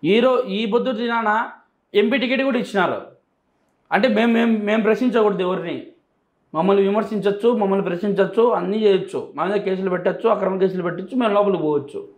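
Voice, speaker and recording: Guy with rayalaseema telugu accent, pretty high voice, emphatic interview answers, echoey room. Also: fast. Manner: emphatic, repeats phrases for emphasis. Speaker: interview guest. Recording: echoey, roomy sound.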